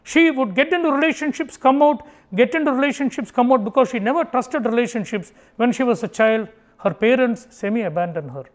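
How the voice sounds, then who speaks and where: A man's voice: extremely high pitch, fairly quick. Indian english guy from kerala, chatty talk, swings his voice up and down a lot. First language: Tamil. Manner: animated, acts out family dialogue in Tamil. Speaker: speaker giving a motivational talk. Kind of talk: conversational public talk. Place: auditorium.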